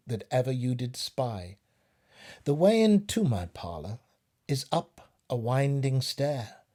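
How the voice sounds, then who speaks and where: Man acting cunning, coaxing spider, slow and oily. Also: medium pitch, darkish tone. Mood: inviting. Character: cunning, flattering male spider luring its prey.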